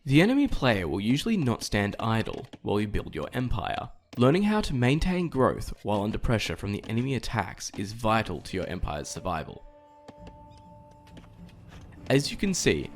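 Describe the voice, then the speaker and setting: A man's voice: on the low side, sing-song, normal speed, soft background music. A man narrating a scripted game tutorial in a precise, explanatory, directive way.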